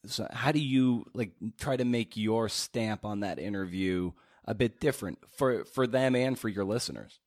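A man hosting a casual interview podcast, asking questions in a hesitant, halting way with repeated words. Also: on the low side, darkish tone, no room sound.

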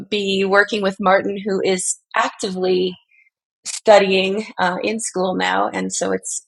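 A woman talks conversationally as a guest coach in an interview. Her voice sits at a medium pitch and often drops into creak. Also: a bit bright, low-quality recording. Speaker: altitude coach and podcast guest.

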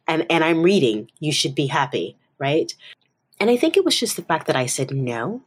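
A woman's voice: low voice, big pitch swings, measured pace, long pauses, husky. A woman co-hosting a podcast, telling personal stories casually and directly, expressively acting out bits of dialogue. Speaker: podcast co-host.

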